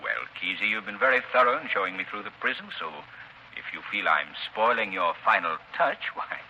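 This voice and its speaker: An urbane, inquisitive gentleman in a 1930s radio drama. His voice is fairly low and very crisp-edged, polite and measured as he probes. The old broadcast has an audible hiss of noise. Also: lively intonation, exaggerated voice, rough recording. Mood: obliging, wary. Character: urbane, courteous, inquisitive gentleman touring a prison.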